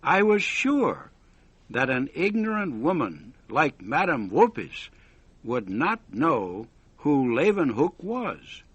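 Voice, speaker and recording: A man's voice: mid-range voice, wildly swooping pitch, slow pace, breathy edge, no echo. A man narrating a scripted story for English learners, with dramatic, careful phrasing.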